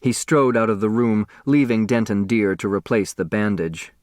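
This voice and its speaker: A man's voice: lowish voice, normal speed, a little mellow, dry recording. Male audiobook narrator, dry studio sound. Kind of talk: scripted storytelling narration.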